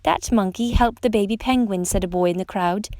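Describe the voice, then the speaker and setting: A woman reading a children's picture book aloud as a storytime narrator, with expressive emphasis on questions and exclamations. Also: mid-range voice, brisk, no room sound. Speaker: storytime reader.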